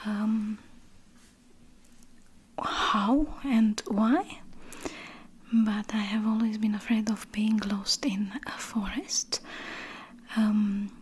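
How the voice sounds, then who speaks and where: A woman whispering ASMR slowly and hesitantly, with many pauses. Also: medium pitch, fairly even intonation, slightly rough. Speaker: ASMR collab guest.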